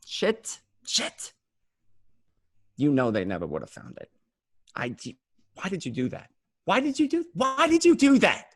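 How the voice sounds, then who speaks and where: A man's voice: sits high, huge pitch swings, unhurried, long pauses, no echo, clean studio sound, heavily acted. A man playing a desperate, paranoid small-time gangster, stammering and swearing, in an amateur script read. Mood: furious, panicked. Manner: stammering, repeating, profane.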